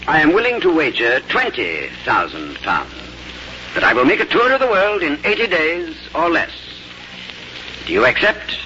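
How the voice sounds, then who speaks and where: An actor playing a fastidious, unflappable English gentleman with clipped, formal, exact speech in a radio drama. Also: a little high, huge pitch swings, slight background noise, full character voice. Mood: coolly confident. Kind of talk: 1950s radio drama narration and acting. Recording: dull 1950s radio broadcast, music behind.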